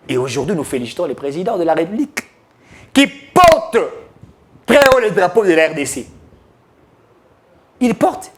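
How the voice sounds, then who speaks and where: A man giving emphatic, declamatory political commentary, mixing French with Lingala. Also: a little high.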